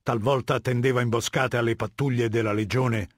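A man playing a husky, imperious warlord. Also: mid-range voice, steady tone, dry recording, studio quality, natural voice. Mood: commanding. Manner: imperious, measured, lecturing, disdainful. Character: middle-aged male warlord dictator, cold, haughty and commanding. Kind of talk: video game character dialogue.

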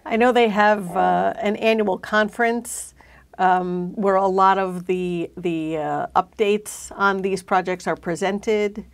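A woman's voice: mid-range voice, steady tone, slow. A middle-aged woman hosting a conversational interview in a studio on a lapel mic. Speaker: host. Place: indoor studio.

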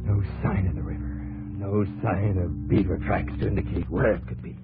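An actor playing a weary, driven expedition captain, narrating his journal gravely and haltingly in 1950s radio drama style. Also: low voice, fairly even intonation. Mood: desperate. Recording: muffled 1950s radio broadcast, music behind.

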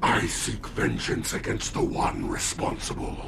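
An actor playing a grim demonic spirit of vengeance in a video game, rasping out solemn, menacing lines. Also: male voice, lowish voice, huge pitch swings, unhurried, background noise, heavily acted. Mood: grim, accusing. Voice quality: gravelly, rasping. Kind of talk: video game cutscene acting. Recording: music behind the voice.

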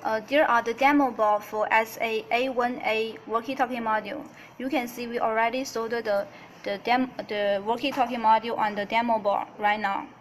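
A woman demonstrating a product in a tutorial style, speaking haltingly in non-native English with repeated phrases. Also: on the high side, fairly even intonation, slight background noise. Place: indoors at a desk.

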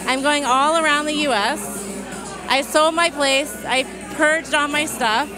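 A woman's voice: high-pitched, steady tone, unhurried, music in the background, crowd noise, poor audio. A woman in her thirties chatting as an interview guest, candid and breezy, on a handheld mic. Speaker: guest, business owner. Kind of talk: casual interview answers. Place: busy indoor meetup venue with music and crowd.

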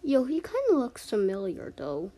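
A young person doing a slangy, teasing amateur voice-over for an animated cartoon. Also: medium pitch, normal speed.

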